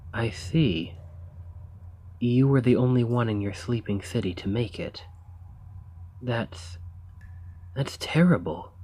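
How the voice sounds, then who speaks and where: A man playing an earnest, eager researcher of ancient civilizations in an ASMR roleplay, speaking in formal, gently sympathetic phrasing. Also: on the low side. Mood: sorrowful, sympathetic. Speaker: audio roleplay performer. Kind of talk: ASMR roleplay, scripted character acting.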